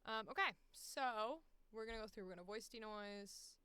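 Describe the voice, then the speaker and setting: A woman's voice: medium pitch, moderate pace, bright, forceful tone, smooth, no echo. A woman talking casually and thinking aloud through a screen-share walkthrough, blunt, self-deprecating and prone to swearing. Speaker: voice actor giving a walkthrough.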